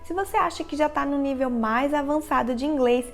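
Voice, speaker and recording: A woman with a Brazilian Portuguese accent explaining English listening tips directly to the viewer in a clear, instructional vlog narration. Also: sits high, fairly quick, soft background music. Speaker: English teacher, channel host. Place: living in the United States.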